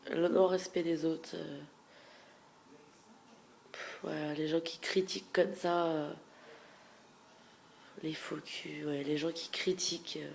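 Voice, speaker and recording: A young woman with a heavy cold talks through a casual question video in a nasal, congested voice. Her tone sits low and rough with fry, and she often drops into quiet murmurs. A faint hiss runs under the recording. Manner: chatty, frank, trailing off into soft murmurs. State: stuffy head cold. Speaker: beauty vlogger.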